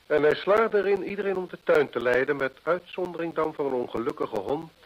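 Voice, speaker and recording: A sharp smug detective in an old radio play, husky voice, really swoopy delivery, formal and dry. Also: male voice, a little high, expressive, fairly quick. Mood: stern, incisive. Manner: formal, probing, dryly teasing. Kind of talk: Dutch radio drama acting. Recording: muffled vintage radio play recording.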